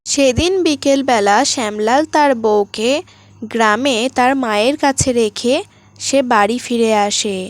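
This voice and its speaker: A woman's voice: a little high, measured pace, background noise. A woman reading a scripted sad story as the narrator of a cartoon tale.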